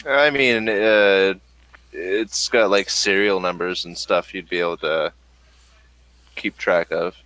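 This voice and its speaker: A man running a tabletop role-playing game as game master, explaining the rules with hesitant pauses and fillers. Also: low-pitched, steady tone, bright, forceful tone, dry recording.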